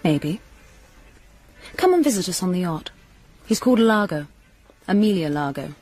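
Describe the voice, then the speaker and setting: Young kept woman, radio play, lowish husky voice, cool n guarded, blunt, bit of music behind. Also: lively intonation, normal speed, a little mellow. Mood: coy, guarded. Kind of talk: radio drama acting.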